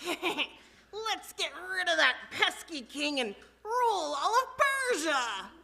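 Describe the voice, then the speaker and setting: A man's voice: very high-pitched, sing-song, slow pace, gravelly, sharp tone, voice pushed up, full character voice. A man voicing a sneaky comic plotter in a puppet show, with a cartoonish, conspiratorial manner. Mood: scheming. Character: squeaky, sneaky male palace plotter, comic villain.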